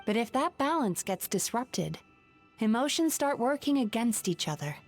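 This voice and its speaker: Earnest, measured therapist character, fairly high voice, gently firm, soft game music underneath. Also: female voice, very animated. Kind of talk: video game voice acting.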